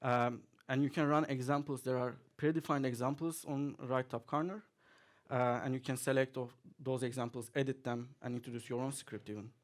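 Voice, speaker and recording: A man talks through a tech demo at a conference, at an everyday middle pitch. He is relaxed and chatty, leaning on fillers and chuckling now and then as he goes. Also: brisk. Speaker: conference speaker. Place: conference hall, audience present.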